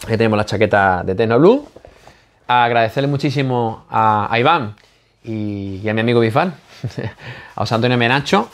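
A man in his forties with a Spanish accent vlogs from a small home office. He talks animatedly to his viewers, repeats phrases and keeps checking that they follow. He speaks at a middle pitch into a lapel mic. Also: normal speed, long pauses. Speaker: spearfishing channel host. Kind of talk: casual vlog talk to camera.

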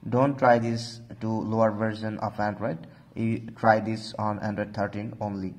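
A man's voice: on the low side, little pitch movement, unhurried, a little mellow. A man hosting an informal phone tutorial, talking through steps with repeated stock phrases. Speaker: tech tutorial host.

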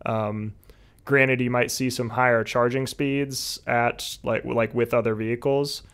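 A young man in his twenties chatting casually on a podcast video call, relaxed, with lots of fillers. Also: mid-range voice, measured pace, clear, resonant tone. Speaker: podcast guest. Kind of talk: casual chat. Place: home room, indoors. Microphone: headset with video call.

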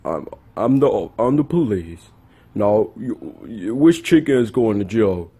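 A businesslike police officer in a homemade comedy skit, put on by a man. The voice drops low and husky, and he talks slowly and haltingly, repeating his words. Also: a little mellow, heavily acted. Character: matter-of-fact male police officer arriving for an arrest. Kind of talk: amateur improvised comedy skit.

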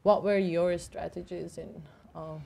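A woman's voice: lowish voice, fairly even intonation, measured pace, gravelly, warm tone. A woman on a gallery panel, asking questions in a casual way with many fillers. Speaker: panelist and photographer. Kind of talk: panel discussion. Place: small gallery room with an audience.

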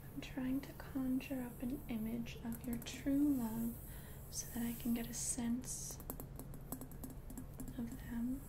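A woman plays a kindly witch minding her magic shop in an ASMR roleplay. The voice is hushed, lightly high and very unhurried, soothing and helpful, with clear noise in the background. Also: little pitch movement. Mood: gentle, attentive. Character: gentle, helpful witch shopkeeper selling love potions. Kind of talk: soft-spoken ASMR roleplay.

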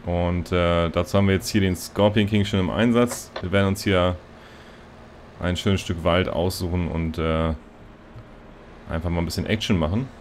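Deep-voiced man commenting on gameplay. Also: normal speed, slight background noise. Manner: relaxed, chatty, lots of "so" fillers. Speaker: let's play host.

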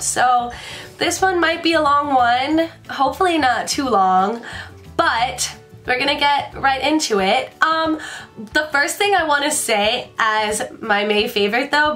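Congested, chatty woman in her twenties, higher voice, casual bedroom vlog with faint background music. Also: bright, forceful tone. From Washington DC area. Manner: chatty and bubbly, rambling asides. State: congested from a sinus infection. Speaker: beauty vlogger. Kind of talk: casual chat to camera. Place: bedroom, indoors.

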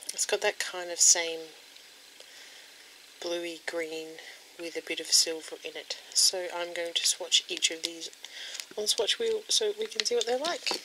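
A young woman with a croaky voice casually showing nail polish at her desk at home, rambling with lots of ums. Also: on the low side. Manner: chatty, rambling with many ums. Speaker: beauty vlogger. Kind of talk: casual product demo. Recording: home recording with clear background noise.

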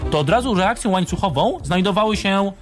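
A man's voice: high voice, lively intonation, a little fast, music in the background. A man co-hosting a live radio show in casual conversation. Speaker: radio co-host.

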